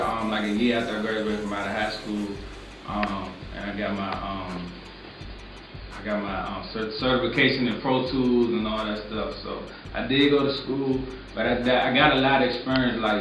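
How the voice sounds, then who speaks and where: Young DC-guy, lowish voice, super laid-back, says "like" a lot, echoey room, mic far off. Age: 20s. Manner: laid-back, filler-heavy, lots of "like" and "you know what I'm saying". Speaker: music producer, guest. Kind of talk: casual interview answers. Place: small recording studio.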